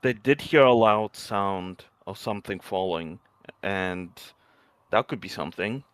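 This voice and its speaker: A man's voice: deep voice, unhurried, fairly bright tone, clear, resonant tone, no echo. A man making casual, joking commentary as co-player during a game playthrough.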